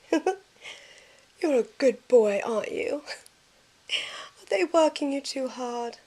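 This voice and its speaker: A woman playing a gentle, shy, encouraging companion with a soft, coaxing manner in an amateur audio drama. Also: high-pitched, expressive, very slow, exaggerated voice. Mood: affectionate.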